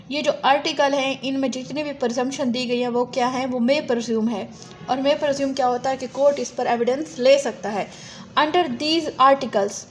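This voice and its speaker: High-pitched Pakistani woman lecturing. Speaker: law lecturer. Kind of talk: lecture, reading English text aloud and explaining it in Urdu. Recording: faint background noise.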